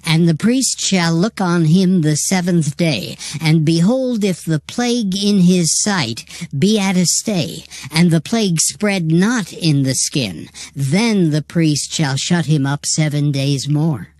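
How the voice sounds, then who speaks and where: A woman giving a measured, formal, dramatized reading of King James scripture. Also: sits low, a little mellow, dry recording, clean studio sound.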